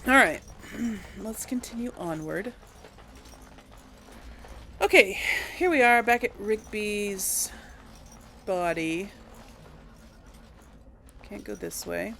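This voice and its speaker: A woman casually commenting on her gameplay, thinking aloud with hesitant pauses and small chuckles. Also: mid-range voice, expressive, gravelly, a bit bright, a little hiss or hum. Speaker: let's play gamer.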